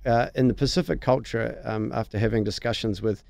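A man with a New Zealand accent, speaking as a member of parliament on a radio panel, measured and earnest. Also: mid-range voice, gravelly, darkish tone, no room sound.

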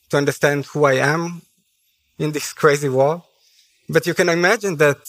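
Higher-voiced man with an Israeli accent. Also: fairly bright tone, low-quality recording. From Haifa, Israel. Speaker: panel speaker. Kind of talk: personal public speech at a conference. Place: on stage at a conference.

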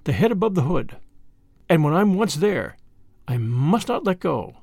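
A man voicing a brave, fierce young male mongoose in a storybook reading, brisk and determined. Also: on the high side, big pitch swings, creaky, darkish tone, slightly rough, no echo, clean studio sound, full character voice.

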